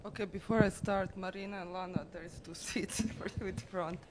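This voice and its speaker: A woman's voice: medium pitch, steady tone, fairly quick, darkish tone. Woman artist talking in a noisy room. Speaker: artist presenting their own project. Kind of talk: informal artist talk to an audience. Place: exhibition room with a seated audience. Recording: noisy room with ambient sound.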